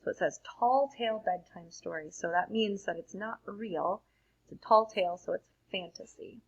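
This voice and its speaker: A woman reading a picture book aloud to children in an expressive storytelling manner, adding simple, casual explanations. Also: medium pitch, normal speed, vocal fry, husky, lo-fi sound. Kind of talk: read-aloud picture book narration with casual asides to young listeners.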